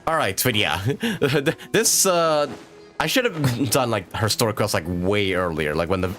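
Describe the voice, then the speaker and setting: A man's voice: on the low side, big pitch swings, a little slow, fairly bright tone. A man chatting casually while livestreaming a video game, hesitant and rambling as he thinks aloud. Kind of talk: casual gaming livestream chat. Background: video game music.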